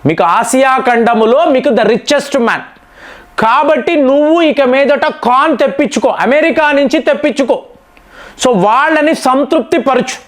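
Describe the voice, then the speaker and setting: Very high-voiced man, emphatic commentator. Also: moderate pace, fairly bright tone. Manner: emphatic, rhetorical questions and repetition for effect. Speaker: political news commentator and channel host. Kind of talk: explanatory news commentary addressed to viewers.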